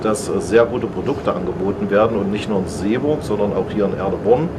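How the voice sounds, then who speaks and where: A man speaking slowly in an interview, with many hesitant fillers. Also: on the low side, heavy background noise. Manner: slow, full of hesitations.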